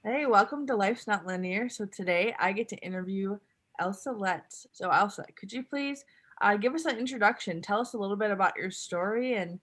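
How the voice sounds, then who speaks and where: A woman hosts a podcast and opens the interview in a casual, relaxed way. Her voice sits at a middle pitch, and she prompts her guest quickly. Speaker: podcast host.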